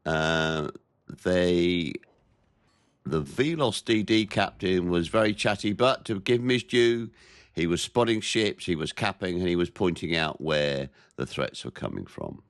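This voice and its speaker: A very deep-voiced man with a head cold, his voice nasal and stuffy as he narrates a warship game in a measured, plain-spoken way. The sound is close and dry, with no room around it. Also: expressive, dry recording. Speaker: gameplay commentator. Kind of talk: naval game commentary.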